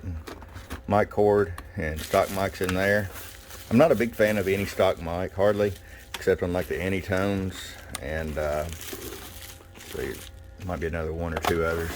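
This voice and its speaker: Very deep-voiced man, casual reviewer. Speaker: shop owner presenting product. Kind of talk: casual hands-on product review. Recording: clear background noise and room ambience. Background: background noise.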